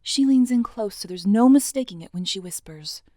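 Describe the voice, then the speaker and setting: A woman's voice: mid-range voice, lively intonation, moderate pace, warm tone, no echo, studio quality. A woman narrating an audio drama as scripted, dramatic storytelling.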